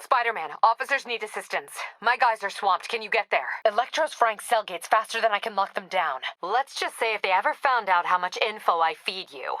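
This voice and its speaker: Actress as a tough female police captain, American accent, bright forceful voice, clipped and authoritative, dry close studio sound, video game acting. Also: medium pitch, fairly quick, few pauses, no echo, close to an everyday voice, rough recording. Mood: businesslike, dry.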